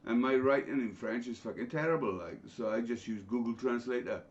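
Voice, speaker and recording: A man's voice: medium pitch, a little fast. A middle-aged man telling blunt, sweary anecdotes in a casual group chat. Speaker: guest telling his own story. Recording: dull lo-fi online call audio.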